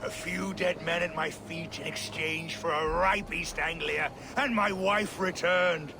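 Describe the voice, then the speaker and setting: An actor playing a brash, boastful Viking warlord in a video game cutscene, with a swaggering, theatrical delivery. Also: male voice, fairly high pitch, fairly even intonation, measured pace, background noise. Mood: gloating.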